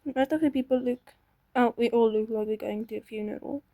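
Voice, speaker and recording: A woman's voice: a little high, steady tone, brisk, warm tone, dry recording. A young woman chatting casually to herself while playing a game, self-doubting and talkative. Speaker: gaming channel host. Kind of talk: casual gameplay commentary.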